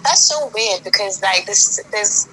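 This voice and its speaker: A woman's voice: medium pitch, expressive, unhurried, pushed and bright, a little hiss or hum, rough recording. A woman from Kenya with a British accent, hosting a casual podcast chat, talking in chatty bursts, often restarting her sentences. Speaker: podcast host. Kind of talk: casual conversation.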